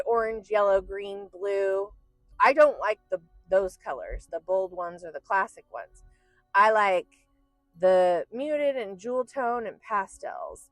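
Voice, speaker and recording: A woman in her forties chats in a relaxed storytime way, now and then cursing casually or saying y'all. Her voice is a little croaky and stuffy, and she takes her time. The sound is close and dry, with faint music underneath. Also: fairly high pitch, no echo, poor audio. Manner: chatty, swears casually, says y'all and right. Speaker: artist and channel host.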